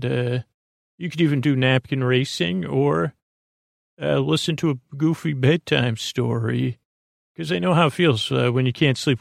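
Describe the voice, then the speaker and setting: A man hosting a bedtime podcast in a creaky voice, rambling in a meandering, hesitant way with frequent stammers. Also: mid-range voice, no echo, studio quality. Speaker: podcast host.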